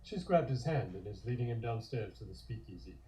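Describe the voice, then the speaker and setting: A man's voice: lowish voice, lively intonation, a little fast, dark tone. A man narrating stage directions from a script at an online table read. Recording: echoey room.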